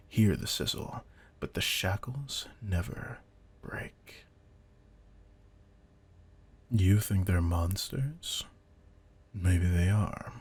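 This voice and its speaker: A man playing a veteran vampire hunter in an audio drama, a controlled, intense mentor who speaks in a deliberate, commanding, quietly menacing way. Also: low voice, exaggerated voice. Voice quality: gravelly, husky. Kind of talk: scripted roleplay audio drama.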